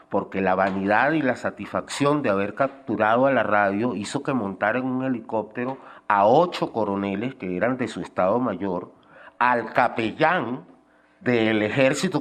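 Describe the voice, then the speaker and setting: A middle-aged or older man giving spoken testimony in an interview, recalling past events. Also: lowish voice. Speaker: former guerrilla giving testimony.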